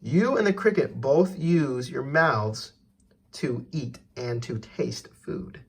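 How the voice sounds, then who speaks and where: A man's voice: medium pitch, animated, takes it slowly, slightly rough. A man reading an educational text aloud for children as a teacher, putting questions directly to the listener.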